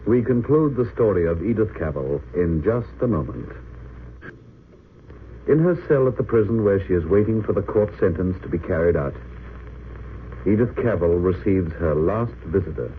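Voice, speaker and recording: A man's voice: low voice, animated, normal speed. A man narrating an old radio drama as announcer, in a formal, scripted style. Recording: tinny, noisy vintage radio recording.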